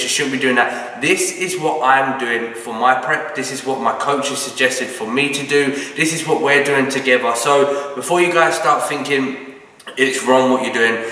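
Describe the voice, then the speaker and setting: A British man with a croaky, just-woken voice, talking casually to camera about his training and diet in a brisk, matter-of-fact way. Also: medium pitch, bright, forceful tone. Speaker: bodybuilding vlogger. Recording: echoey room, from a distance.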